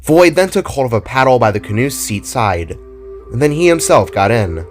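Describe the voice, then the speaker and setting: A man's voice: medium pitch, sing-song, moderate pace. A man reading scripted fantasy story narration as the narrator of an audio drama. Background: music.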